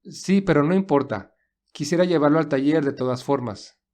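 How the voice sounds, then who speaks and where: A man's voice: fairly high pitch, normal speed, a little mellow, natural voice. A man playing a courteous, resourceful young man in an audio story, speaking earnestly and reassuringly. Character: polite, resourceful young man stranded with his companion. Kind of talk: audio story character dialogue.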